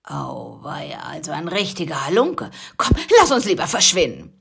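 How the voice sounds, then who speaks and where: A woman's voice: sits low, big pitch swings, normal speed, creaky, noisy background, full character voice. A woman voicing a lazy, sleepy male spider who mumbles and grumbles. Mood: grumbling.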